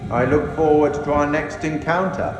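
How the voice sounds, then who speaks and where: An actor playing a sinister, urbane keeper of tales in a horror game, speaking in measured, formal, wry and ominous monologue. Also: male voice, fairly high pitch, fairly even intonation, noisy background, natural voice. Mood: amused, courtly. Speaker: curator, narrator of a horror game. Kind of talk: theatrical scripted game monologue. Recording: echoey long reverb, music behind. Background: orchestral music.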